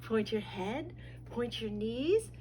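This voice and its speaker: A woman's voice: on the high side, animated, takes it slowly, dark tone, slight background noise. A woman preschool teacher leading a story time for young children, speaking and singing in simple, repetitive, sing-song phrases with an encouraging, instructive manner.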